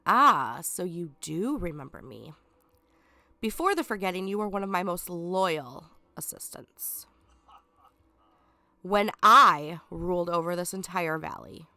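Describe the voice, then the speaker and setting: A woman's voice: fairly low pitch, animated, measured pace, bright, forceful tone, dry recording, full character voice. A woman reading a scheming, condescending lion villain. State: stuffed up from a cold. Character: scheming, sarcastic male lion villain, self-proclaimed king.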